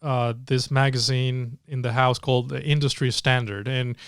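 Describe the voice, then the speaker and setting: A man's voice: mid-range voice, steady tone, moderate pace, clear, resonant tone, dry recording, studio quality. A man in his forties from Venezuela, a tech entrepreneur telling his story in a casual interview, hesitant with many fillers and restarts.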